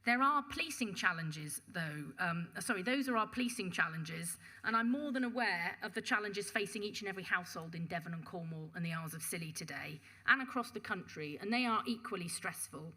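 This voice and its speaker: A woman presenting a budget proposal to a council panel in a measured, formal address, reading out figures with occasional restarts. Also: fairly low pitch, expressive, sharp tone. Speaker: police and crime commissioner presenting a budget. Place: council meeting chamber.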